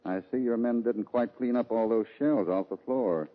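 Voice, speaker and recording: A man playing a level-headed, decisive cowboy hero in an old radio western, calm, commanding and plain-spoken. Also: mid-range voice, normal speed, lightly acted. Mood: thoughtful, intent. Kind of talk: 1950s radio western acting. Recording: noisy, muffled old-time radio recording.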